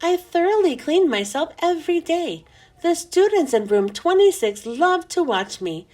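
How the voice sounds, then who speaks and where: Woman, high-pitched, very lively intonation, expressive children's storybook narrator, emphatic phrasing. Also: a little slow. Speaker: children's book reader.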